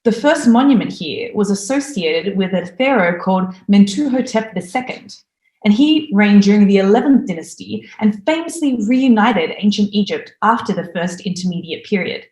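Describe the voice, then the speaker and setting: A woman in her thirties, from Australia, giving a recorded conference talk from home in an eager, lively way. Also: mid-range voice, normal speed, roomy sound, poor audio. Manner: enthusiastic, lively presenter. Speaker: conference speaker.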